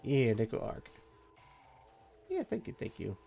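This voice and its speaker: A sleepy man chatting casually and mumbling to himself on a live stream while he works, with energetic music faintly behind him. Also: medium pitch, measured pace, gravelly. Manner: soft, meandering, mumbles to himself, trails off. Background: faint energetic soundtrack music.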